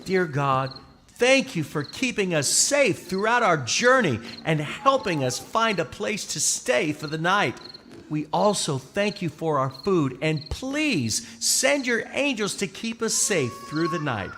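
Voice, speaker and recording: A man playing a patient, gentle but firm Israelite father in a children's puppet show, explaining things deliberately like a bedtime storyteller. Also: sits high, big pitch swings, slight background noise, full character voice. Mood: kindly firm. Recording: long hall reverb, music underneath.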